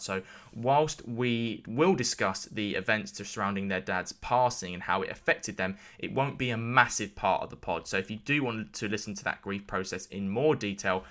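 A man's voice: fairly low pitch, pushed and bright. A man hosting an interview podcast, speaking in an informal, chatty register. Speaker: podcast host. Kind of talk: scripted intro and casual interview.

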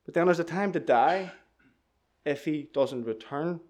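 A man preaches earnestly at church, repeating his key lines for emphasis. His voice sits high for a man. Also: measured pace, a little mellow. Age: 20s. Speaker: lay preacher giving testimony. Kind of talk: church testimony and sermon.

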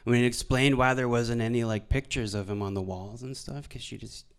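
A young man from Alberta, doing stand-up storytelling on a stage microphone in a deadpan, halting, self-deprecating way. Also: medium pitch, clean tone. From Alberta, Canada. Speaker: stand-up comedian. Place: live venue stage before an audience.

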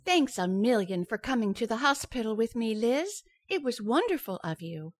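A woman's voice: a little high, big pitch swings, normal speed, faint music behind. An actress playing an anxious, grateful young expectant mother in an old-time radio sitcom, sweet and hesitant.

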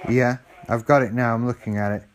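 A man chatting casually on a phone call, acting slow, apologetic and easily confused. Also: mid-range voice, level tone. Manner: feigned slow, apologetic and muddled. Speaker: call recipient stringing a scammer along. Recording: phone call recording with faint noise.

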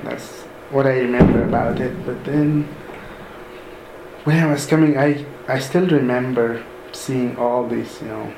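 A man in his thirties from Sudan, softly recalling his move abroad in an unhurried way with many pauses. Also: medium pitch, fairly even intonation, vocal fry. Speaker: interviewee. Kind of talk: casual personal recollection. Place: room in a mosque. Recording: echoey room with ambient noise.

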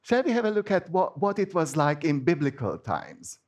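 A man's voice: fairly high pitch, big pitch swings, moderate pace. A man with a Hungarian accent, a native Hungarian speaker, giving an informal sermon in a chatty, hesitant way with many restarts. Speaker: preacher.

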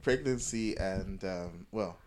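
A man's voice: sits low, takes it slowly, a little mellow, slight background noise. A young man chatting casually and candidly as a podcast co-host, repeating phrases as he explains. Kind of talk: casual, candid group chat.

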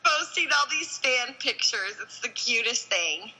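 A young woman in casual conversation as a podcast co-host, chatty and quick to laugh. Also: fairly high pitch, expressive, sharp tone, slightly rough, low-quality recording. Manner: giggly, chatty, trails off into laughs. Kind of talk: casual chat.

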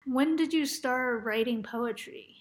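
Woman interviewer, higher voice. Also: measured pace, a crowd in the background. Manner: brief encouraging acknowledgements.

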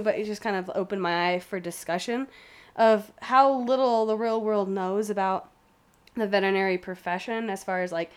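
A woman's voice: medium pitch, clear, resonant tone. A woman with a nasal voice co-hosting a casual podcast chat.

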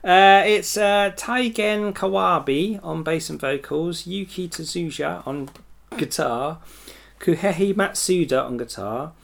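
Give me a gravelly man co-hosting a radio music show, laughing and joking. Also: high voice, slow, a bit bright. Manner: jokey, laughing, tipsy banter. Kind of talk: casual chat between music tracks.

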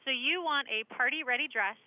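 A woman's voice: sits high, fairly quick, slight background noise. A woman working as a bridal shop receptionist, polite and accommodating, heard over the phone. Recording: dull phone line.

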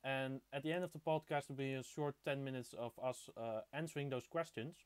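A man's voice: medium pitch, fairly even intonation, brisk, clean tone. A 19-year-old man from the Netherlands casually hosting a live hobby podcast, stumbling a little over his words. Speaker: podcast host.

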